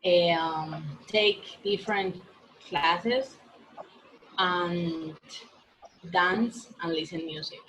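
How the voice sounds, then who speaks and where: A woman's voice: on the low side, drawn-out words, pauses often, crowd noise, low-quality recording. A nineteen-year-old woman from Colombia with a Spanish accent, answering hesitantly in a speaking exam. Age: teens. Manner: hesitant learner English. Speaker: exam candidate.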